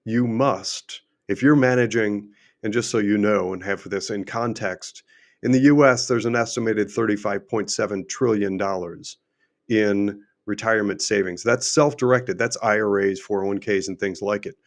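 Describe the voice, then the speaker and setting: Emphatic middle-aged man, hoarse. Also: on the low side, steady tone, moderate pace. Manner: emphatic, repetitive, rhetorical questions. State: losing his voice. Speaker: host and finance adviser. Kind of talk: unscripted livestream monologue.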